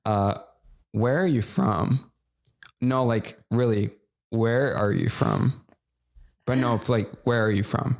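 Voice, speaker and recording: A man's voice: mid-range voice, expressive, slow, creaky, long pauses. A man from Rhode Island, co-hosting a casual podcast chat, probing the others with questions. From Rhode Island, United States. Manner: probing, questioning, talks in bursts. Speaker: co-host.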